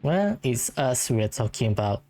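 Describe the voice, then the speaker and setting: A woman's voice: very deep voice, sing-song, measured pace, dark tone. A woman giving casual, laid-back commentary while playing a video game. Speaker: gameplay commentator.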